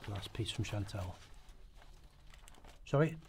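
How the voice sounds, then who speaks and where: A man's voice: on the low side, expressive, measured pace, vocal fry, slight background noise. A man reading a script aloud as a narrator in rehearsal, now and then stumbling over his words. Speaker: radio play narrator in rehearsal.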